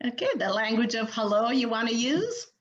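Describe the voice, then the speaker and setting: A woman's voice: on the high side, animated, muffled recording. A woman from Ethiopia, a native Amharic speaker, moderating a panel over a video call, with frequent fillers and restarts. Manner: halting, many fillers and restarts.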